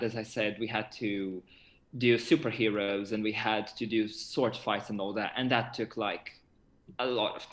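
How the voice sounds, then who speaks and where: A young man chatting casually as a theatre director in an interview, animated and often repeating words as he looks for phrasing. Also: on the low side. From Portugal. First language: Portuguese. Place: indoor room on a video call. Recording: roomy, echoey video-call sound.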